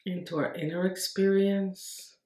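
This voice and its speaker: A woman leads a guided meditation in a roomy, echoing space. Her delivery is very slow and even, with gentle instructions offered in short, unhurried phrases. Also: medium pitch. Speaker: meditation teacher.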